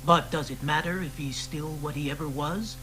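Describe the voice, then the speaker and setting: An actor playing a provocative, wry poet who needles the people around him. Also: male voice, fairly high pitch, steady tone, moderate pace, over music, natural voice, poor audio. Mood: needling. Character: provocative male poet of the protest era. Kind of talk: radio drama acting.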